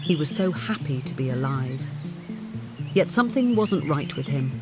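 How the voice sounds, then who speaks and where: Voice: mid-range voice, very animated, moderate pace, over loud music. An audiobook narrator reading a scripted story in the third person.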